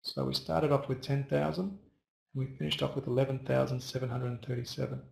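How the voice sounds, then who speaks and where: Male host, loose and chatty, talks to viewers by name; gravelly voice, roomy echo. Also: mid-range voice, flat delivery, brisk, a little mellow. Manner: chatty, rambling, addresses viewers by first name. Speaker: trading webinar host. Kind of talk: casual live webinar talk answering viewer chat.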